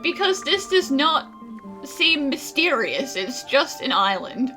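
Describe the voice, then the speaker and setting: Bright-voiced, wry woman gamer. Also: high-pitched, big pitch swings, takes it slowly, soft background music. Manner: wry, teasing, slangy. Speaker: gaming streamer. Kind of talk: casual playthrough commentary.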